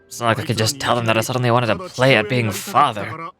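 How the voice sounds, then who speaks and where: A man performs a rueful older father and desert chief from a video game. His mid-pitched voice is boastful yet wistful and tender, with sighs. Clear music plays behind him. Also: fairly even intonation, fast talker, close to an everyday voice. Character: doting older male desert gang leader, a rueful adoptive father. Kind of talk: video game character monologue reading a letter.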